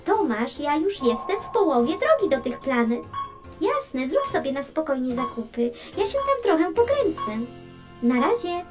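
A woman voicing a cheerful, curious, gently teasing space probe in a children's educational audio drama. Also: sits high, very animated, normal speed, over music. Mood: playful. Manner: playful, clear storytelling explanation.

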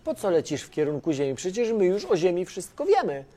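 A woman's voice: on the low side, very animated, fairly quick, warm tone. Woman doing a curious young male mission controller. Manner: curious, easygoing, a bit clueless. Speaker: flight control operator character. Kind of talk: children's educational cartoon dialogue.

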